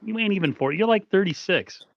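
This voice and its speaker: A man's voice: high-pitched, expressive, fast talker, no room sound, highs cut off. A man in his forties chatting casually about sports as a co-host, rambling and joking in slangy speech. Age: 40s.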